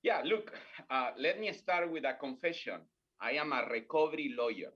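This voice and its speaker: A man's voice: a little high, animated, normal speed, breathy edge, lo-fi sound. A middle-aged man from Peru with a Peruvian Spanish accent, a native Spanish speaker, speaking earnestly as a guest in a podcast interview with small grammar slips. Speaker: guest, corporate learning executive.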